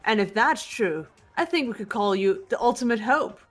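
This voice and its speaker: A woman voicing a cool, composed teenage girl detective in a video game, measured, serious and earnest. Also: fairly high pitch, animated, soft background music, pitched below the performer's own voice. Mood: solemn, encouraging. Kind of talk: fan voice acting of a video game script.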